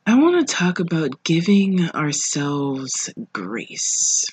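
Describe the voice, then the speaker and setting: Podcast host, husky voice, unhurried pace, informal reflective monologue, pauses between phrases. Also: medium pitch, very animated, no echo, poor audio. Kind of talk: casual solo podcast monologue. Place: living room at home.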